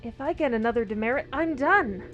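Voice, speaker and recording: Woman playing a high-voiced defiant girl. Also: animated, brisk, fairly bright tone, dull audio, soft background music. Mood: desperate pleading. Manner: pleading, defiant. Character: defiant, hot-tempered girl student cornered by soldiers. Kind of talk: audio drama acting.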